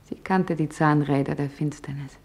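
An actress playing a woman office worker who recalls wartime events in intimate, measured radio play narration. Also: low-pitched, steady tone, darkish tone. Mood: hushed, suspenseful. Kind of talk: dramatized first-person narration in a radio play.

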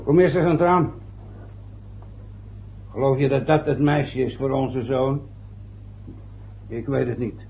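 Man playing a gruff resentful dad, husky voice, muffled old radio play. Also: mid-range voice, steady tone, unhurried, pauses often. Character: grumpy bitter middle-aged father. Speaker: father, church sexton.

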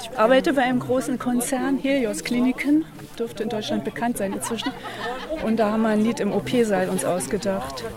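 A woman's voice: fairly high pitch, steady tone, brisk, lightly acted. A woman playing a hospital employee telling an anecdote in a street-interview style. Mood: matter-of-fact. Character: hospital company employee sharing a grim work song. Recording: busy crowd noise behind. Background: crowd.

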